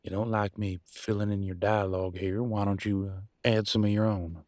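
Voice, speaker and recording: Low-voiced, weary werewolf husband played by a man. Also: fairly even intonation, a little mellow, dry recording, clean studio sound, natural voice. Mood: weary, sarcastic. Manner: folksy, sarcastic, pausing, colloquial. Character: weary, embittered male werewolf pack leader and husband. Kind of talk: dramatic one-sided relationship roleplay audio.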